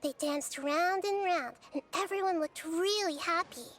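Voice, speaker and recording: An actress voicing a gentle, wise, childlike young goddess in a video game, speaking softly and thoughtfully. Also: female voice, very high-pitched, a little slow. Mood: happy, innocent. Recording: music behind the voice.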